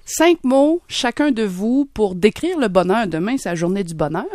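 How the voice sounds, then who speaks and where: A woman's voice: mid-range voice, expressive, normal speed, a little mellow, no echo. A woman in her forties with a Québécois French accent, hosting a casual radio interview in a studio with a playful, teasing manner. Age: 40s. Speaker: radio host.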